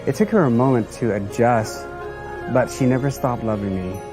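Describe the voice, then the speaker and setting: A man's voice: mid-range voice, normal speed. A man giving an earnest personal testimonial, telling his story plainly in short phrases. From Southeast Asia and the United States. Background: music.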